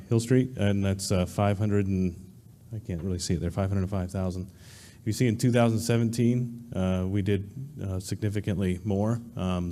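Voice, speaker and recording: A man briefing a town meeting on road projects, pausing often and stopping to recall figures. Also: low-pitched, dark tone, a little hiss or hum. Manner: hesitant, stops to recall figures. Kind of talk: informal public briefing.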